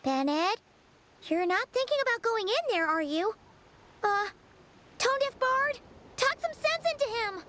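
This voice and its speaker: A woman voicing a tiny, excitable fairy companion girl in a video game, squeaky and childlike. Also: unhurried, long pauses, a little hiss or hum, exaggerated voice. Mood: alarmed. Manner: refers to herself by name, exclamatory. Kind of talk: video game character acting.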